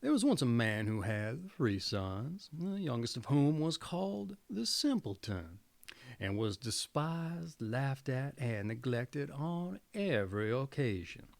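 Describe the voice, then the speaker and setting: A man's voice: medium pitch, big pitch swings, slow pace, gravelly, dry recording. A man reading fairy tales aloud as a storyteller, dramatic and folksy, sometimes correcting his own reading. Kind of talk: fairy tale narration, read aloud.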